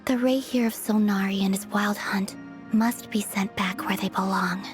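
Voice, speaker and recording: A woman playing a serene, gentle yet resolute young moon maiden in a video game, with a soft, breathy delivery. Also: mid-range voice, fairly even intonation, normal speed, natural voice. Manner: gentle, solemn. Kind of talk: video game character acting. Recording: music behind the voice.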